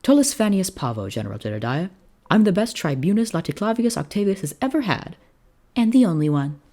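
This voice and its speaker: A narrator playing an eager young Roman officer, higher-pitched. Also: sing-song, brisk, mellow. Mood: cheeky.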